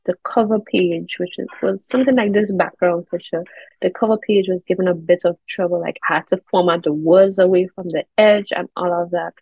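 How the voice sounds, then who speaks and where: A woman's voice: lowish voice, steady tone, a little fast, breathy edge, dry recording. A woman in her thirties with a Caribbean accent, chatting as an interview guest over a video call. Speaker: interview guest, air traffic controller and children's author. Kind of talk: conversational interview answers. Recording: slightly dull video-call audio.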